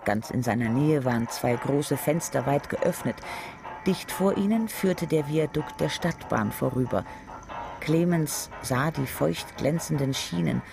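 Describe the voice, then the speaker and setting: Creaky, higher-pitched man narrating over music. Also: unhurried. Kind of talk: dramatic literary narration for radio.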